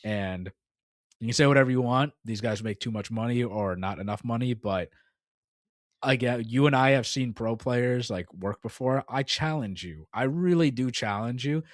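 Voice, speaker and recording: A man's voice: medium pitch, normal speed, dry recording, clean studio sound. A young man co-hosting a casual gaming podcast, rambling and filling his talk with "like" and "dude.". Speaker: podcast co-host.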